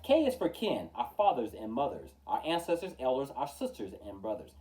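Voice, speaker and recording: Animated man reading aloud, slightly distant. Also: medium pitch. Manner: theatrical, measured delivery with emphatic openings and falling line endings. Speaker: storytime host reading aloud. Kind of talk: expressive children's picture-book read-aloud in rhyme.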